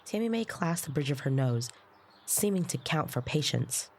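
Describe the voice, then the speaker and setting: An actress playing an earnest young trainee, narrating her story in the first person in a measured, descriptive way. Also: sits low, lively intonation. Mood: wry.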